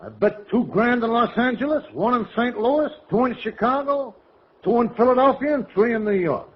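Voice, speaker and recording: An actor playing a brash, crooked gangster scorekeeper who talks in slangy, mangled tough talk in a vintage radio comedy. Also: male voice, very high voice, animated, moderate pace. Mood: cheerfully menacing. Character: crooked gangster scorekeeper, wisecracking, cheerfully menacing man. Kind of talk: 1940s-50s radio comedy acting. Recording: dull vintage radio, audience, heavy noise.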